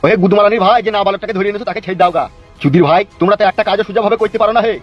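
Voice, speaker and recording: A man acts out a bullying cartoon father with a high voice and a rural West Bengal accent. He rattles along very fast, blustering and tripping on his words. The sound is dull, with music behind. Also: fairly even intonation. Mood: angry, scolding. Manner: blustering, stammers when agitated. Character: bullying, foul-mouthed, hot-tempered politician father. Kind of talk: comic cartoon dub.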